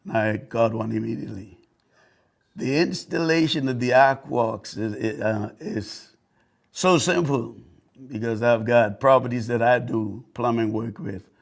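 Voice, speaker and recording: An older man from the Bahamas giving an earnest, halting customer testimonial, often repeating words as he restarts. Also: medium pitch, vocal fry. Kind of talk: unscripted testimonial.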